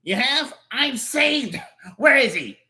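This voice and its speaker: A man performs a pompous little cartoon schemer with a short fuse. The voice is very bright and forceful, swinging up and down as he bosses and sneers his way through the story. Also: extremely high pitch, animated, takes it slowly, pitched above the performer's own voice, full character voice. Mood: bossy, demanding. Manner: brash, sarcastic, bossy. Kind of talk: comic book read aloud in character voices.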